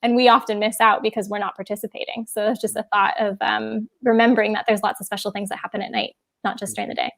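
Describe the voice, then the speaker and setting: Woman from Hawaii, educator, thoughtful halting chat, medium pitch, video call. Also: fairly even intonation, gravelly. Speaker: educator and event host. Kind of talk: casual reflective chat.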